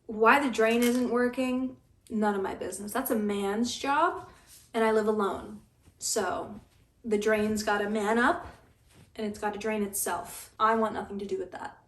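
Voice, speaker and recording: A young woman in her twenties talking in a casual vlog, dry and deadpan, griping with stammered restarts. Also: medium pitch, measured pace. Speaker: vlogger. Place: apartment room. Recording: echoey room, mic at a distance.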